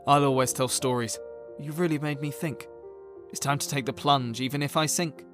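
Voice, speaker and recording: An actor playing a troubled author who stands up for himself, speaking earnest, declamatory rhyming lines in an audio drama. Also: male voice, mid-range voice, fairly even intonation, moderate pace, music in the background, lightly acted. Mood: thoughtful, resolved. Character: troubled male author standing up to his characters.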